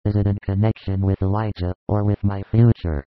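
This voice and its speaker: A man's voice: fairly low pitch, level tone, a little fast, no echo, narrow, tinny audio, close to an everyday voice. A man playing an earnest, pensive disciple with a questioning manner in a dramatized religious audiobook.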